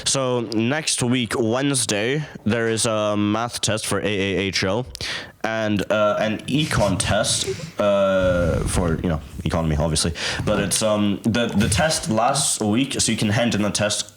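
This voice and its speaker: A man's voice: lowish voice, fairly even intonation, measured pace, hardly any pauses. A young man chatting casually as a student podcast co-host, rambling and repeating himself, with some swearing. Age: teenager or young adult. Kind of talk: casual group chat. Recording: roomy echo, faint background noise.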